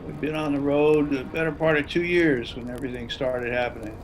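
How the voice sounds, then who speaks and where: A man's voice: medium pitch, fairly even intonation, fairly quick, a little hiss or hum, lightly acted, poor audio. Man playing folksy old widowed survivor. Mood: wistful, sad. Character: older retired salesman, widowed, folksy survivor. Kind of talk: table-read drama acting. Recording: video-call read over music.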